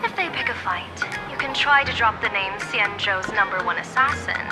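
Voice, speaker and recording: A woman's voice: mid-range voice, normal speed, lightly acted. A woman voicing an enigmatic, shrewd general and fortune-teller in a video game, poised and formal with a teasing, imperious streak. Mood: solemn. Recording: loud music over the voice.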